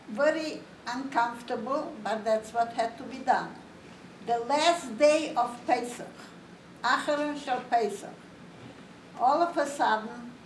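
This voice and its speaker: An older woman from Romania, giving a slow, plain, matter-of-fact spoken testimony to an audience. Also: on the high side. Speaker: Holocaust survivor speaker. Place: community hall. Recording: roomy, distant, faint hiss.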